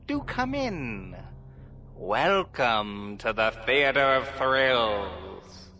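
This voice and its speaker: A man's voice: medium pitch, big pitch swings, very slow, sharp tone. A man hosting a horror radio show, introducing it in a campy, playfully spooky, theatrical way.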